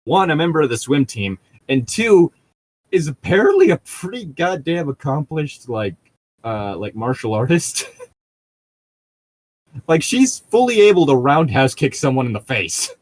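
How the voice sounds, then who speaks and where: A man's voice: fairly high pitch, sing-song, a little slow, no room sound. A man co-hosting a read-along show, chatting casually between read passages with a mocking, sardonic manner.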